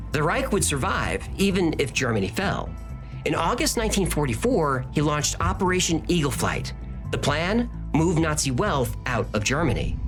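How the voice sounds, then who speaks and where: A man reads a scripted documentary narration with a lively, swinging pitch. He delivers it punchily and builds drama with rhetorical questions. Clear music plays underneath. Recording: narration over music from a played video.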